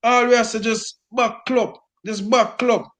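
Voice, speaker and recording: A man with a high, lifted voice and a thick Jamaican Patois accent. He's a middle-aged football fan on a rant, pressing every point hard and tacking "brother" onto nearly every phrase. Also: level tone, unhurried, fairly bright tone. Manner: emphatic, repeats "brother" constantly.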